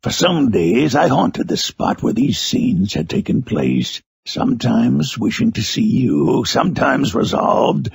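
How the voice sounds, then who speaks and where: A menacing outcast creature telling his dark tale like a gothic storybook. The voice is deeply gravelly and husky, with huge swings in pitch. He speaks slowly and theatrically, brooding and bitter. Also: sing-song, no room sound, full character voice. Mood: tormented, bitter. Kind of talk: dramatic first-person literary monologue.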